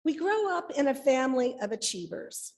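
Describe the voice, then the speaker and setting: A woman reads a written sermon aloud in a deliberate, measured way, phrase by phrase. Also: high voice, big pitch swings, creaky, darkish tone. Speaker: lay reader delivering a written sermon. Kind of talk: sermon read aloud from a script.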